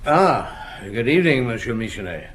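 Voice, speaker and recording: An actor playing a shrewd, curt chief police inspector in a radio drama, questioning tersely in a husky voice. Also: male voice, medium pitch, sing-song, normal speed, fairly bright tone, a little hiss or hum. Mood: probing.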